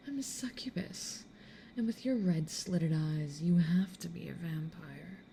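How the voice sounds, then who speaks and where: A woman voicing a self-assured, territorial succubus in an ASMR roleplay, speaking in a cool, dry, breathy half-whisper. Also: fairly low pitch, slow pace, mellow, slight background noise. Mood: cool, challenging.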